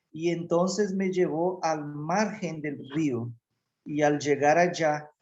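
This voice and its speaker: A native Portuguese-speaking male pastor delivers a sermon at an unhurried, deliberate pace, circling back and restarting phrases as he goes. His voice sits at a middle pitch. It rings out in an echoey church hall. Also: darkish tone. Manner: slow, measured preaching with many restarts.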